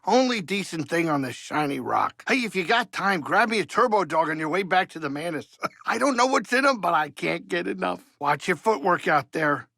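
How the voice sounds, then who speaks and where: An actor playing a grumbling but warm-hearted older alien pilot and cook in a video game, with a gravelly voice and a folksy, wisecracking, fussy way of talking. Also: male voice, sits high, very animated, moderate pace, hardly any pauses, no room sound, full character voice. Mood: chatty, upbeat. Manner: folksy, wisecracking, fussy, colloquial streetwise talk. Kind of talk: video game character dialogue.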